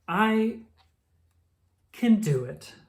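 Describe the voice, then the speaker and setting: A woman's voice: medium pitch, animated, extremely slow, a little mellow, a little hiss or hum, voice pushed up. A woman voicing a timid but brave little girl squirrel in a children's storybook, anxious and exclaiming. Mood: determined.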